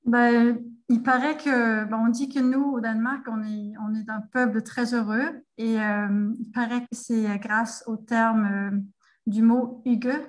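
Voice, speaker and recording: A middle-aged woman talks as a guest over a remote call. Her pitch barely moves, and her speech stumbles along with many fillers and restarts. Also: steady tone, a little slow. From Denmark. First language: Danish. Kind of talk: casual interview over a remote link.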